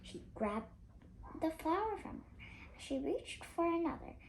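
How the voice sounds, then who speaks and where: A young girl of about eight reads a children's story aloud in a high voice that swings up and down. She goes slowly and carefully, sometimes halting over words. There is clear noise in the home recording. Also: big pitch swings, rough recording. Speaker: young reader. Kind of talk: expressive storybook read-aloud.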